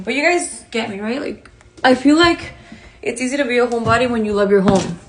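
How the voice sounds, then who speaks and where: A woman's voice: fairly high pitch, a little hiss or hum. A woman chatting casually on a live stream, rambling and self-aware. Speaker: streamer answering chat.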